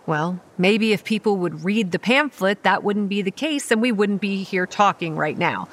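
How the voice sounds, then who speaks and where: A woman's voice: medium pitch, moderate pace, close to an everyday voice. A woman playing a guarded, hot-tempered young campground manager who narrates and speaks in a horror audio drama, earnest with a sardonic edge. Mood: sarcastic. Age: young adult. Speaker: narrator and lead character.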